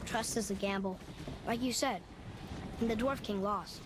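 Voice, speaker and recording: A man's voice: sits high, moderate pace, noisy background, lightly acted. A young male actor playing a curious, eager demigod boy in a video game, youthful, inquisitive and earnest in delivery. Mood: questioning, earnest. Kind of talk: video game character acting.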